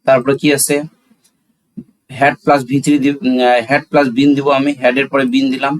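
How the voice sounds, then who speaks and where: A man's voice: medium pitch, flat delivery, a little slow, low-quality recording. Bangladeshi male teacher explaining step by step. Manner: instructional, asks and answers own questions, switches between Bengali and English. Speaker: English grammar teacher. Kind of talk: classroom-style lesson, explaining step by step.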